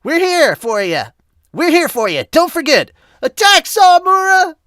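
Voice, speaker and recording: A man voicing hot-blooded high school baseball players in a manga dub, bellowing in exclamations. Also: big pitch swings, normal speed, sharp tone, no echo, clean studio sound, heavily acted. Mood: encouraging.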